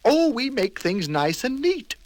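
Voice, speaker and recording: A man voicing a fussy, bossy handyman in a children's storybook, brisk and insistent. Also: very high-pitched, big pitch swings, no room sound, pitched above the performer's own voice, heavily acted. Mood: businesslike. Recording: children's storybook record with music behind.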